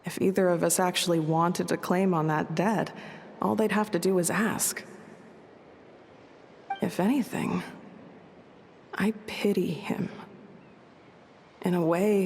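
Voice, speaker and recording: Gentle young game heroine: unhurried, soft, reflective, echoing with long reverb. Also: female voice, fairly low pitch, steady tone, a little hiss or hum. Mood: solemn, reflective. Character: gentle, reflective young woman seeing a friend off. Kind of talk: video game voice acting.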